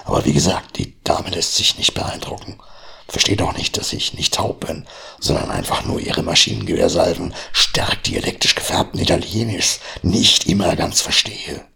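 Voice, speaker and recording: A man hosting a podcast and reading his own short horror story in a hushed, half-whispered narration, with a husky voice. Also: deep voice, normal speed. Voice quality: husky, gravelly. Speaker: podcast host.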